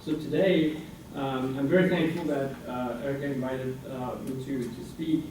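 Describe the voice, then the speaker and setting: A man's voice: medium pitch, fairly even intonation, moderate pace, darkish tone, recorded from a distance. A man in his forties, raised in France and Iranian American, giving a hesitant, filler-filled presentation to a student senate as a guest speaker. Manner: hesitant, many fillers and restarts. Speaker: guest speaker, international center director. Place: university meeting room. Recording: roomy hall recording from afar, noisy.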